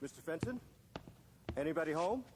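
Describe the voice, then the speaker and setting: An actor playing a polite, hesitant young American gardener in a radio drama. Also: male voice, a little high, lively intonation, fairly quick, big-room sound, music in the background, lightly acted. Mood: tentative. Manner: polite, tentative.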